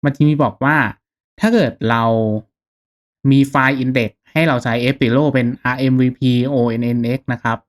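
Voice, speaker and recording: A man narrating a casual step-by-step software tutorial in an informal, instructional manner. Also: mid-range voice, steady tone, a little slow. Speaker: tutorial host.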